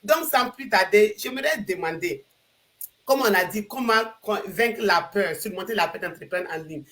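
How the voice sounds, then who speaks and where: A woman hosts a live stream in a mid-pitched voice. She talks expressively, growing louder and softer, and often circles back to repeat herself. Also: lively intonation, moderate pace.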